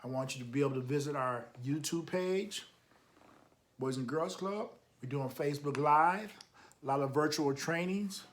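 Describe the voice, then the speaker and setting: Higher-pitched man reading to children. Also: normal speed. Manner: animated, encouraging, repeats key phrases, chats to the listener. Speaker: host of a children's storytime, youth club coach. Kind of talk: read-aloud storytime with encouraging asides to children.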